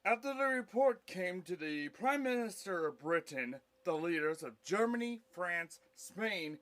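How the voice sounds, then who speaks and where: Male audio play narrator: slightly high pitch, unhurried, halting scripted read. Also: expressive, a bit bright.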